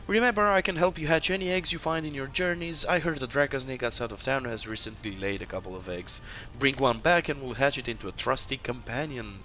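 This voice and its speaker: A man's voice: medium pitch, a little fast, hardly any pauses, bright, forceful tone, pitched above the performer's own voice, close to an everyday voice. A man voicing a helpful innkeeper character in a fantasy game. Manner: reads NPC lines aloud, lightly lifted.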